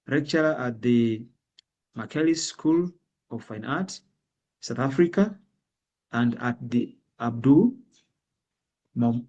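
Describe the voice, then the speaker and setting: A man's voice: mid-range voice, darkish tone. Man moderator introducing speakers. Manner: hesitant, many fillers and restarts. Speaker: event moderator.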